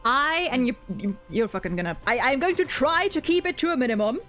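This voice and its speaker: Higher-voiced woman chatting, rambling and stammery. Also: brisk, faint music behind. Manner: animated, rambling, stammering with repeated words. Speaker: streamer and video co-host. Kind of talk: casual chat reviewing a game's story.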